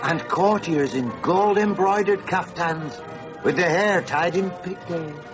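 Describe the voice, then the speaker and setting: A man's voice: sits high, measured pace. A man narrating a radio play in a storytelling manner. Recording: dull lo-fi radio recording, music behind.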